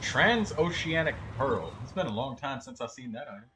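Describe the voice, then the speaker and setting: A man giving casual, excitable, swearing commentary while streaming a video game. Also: medium pitch, normal speed, background noise. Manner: profane, excitable trash talk. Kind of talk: casual live gameplay commentary.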